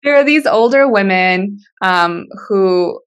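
A woman's voice: medium pitch, animated, slow pace. A young woman whose first language is Russian, earnestly telling her story as a guest on a video call interview.

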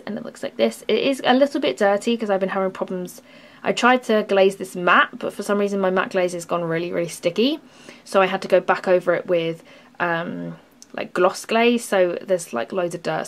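Young girl chatting about her crafts, rambly, lots of um and like, voice a bit worn out. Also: mid-range voice. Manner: chatty, rambling, many ums and likes. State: losing her voice, sore throat. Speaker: craft channel host. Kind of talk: casual rambling show-and-tell. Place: indoors at a craft table.

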